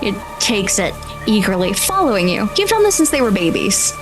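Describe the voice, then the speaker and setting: A woman runs a tabletop role-playing game as game master. She paints scenes in a descriptive storyteller's way, at a middling pitch, with music clearly playing underneath. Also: very animated, moderate pace. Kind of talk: improvised tabletop game narration.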